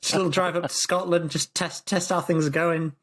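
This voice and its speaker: A man's voice: on the high side, steady tone, fairly quick. A man co-hosting a podcast in casual chat, ranting sarcastically with stammering restarts. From Britain. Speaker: podcast co-host.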